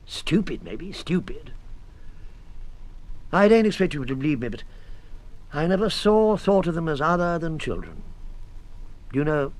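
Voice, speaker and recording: Middle-aged British husband, higher-pitched, very animated, sardonic, weary, defensive, radio drama. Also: male voice, unhurried, long pauses, rough recording. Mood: wistful, pleading. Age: 50s. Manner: sardonic, weary, bursts of irritation. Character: defensive, exasperated 51-year-old husband accused by police.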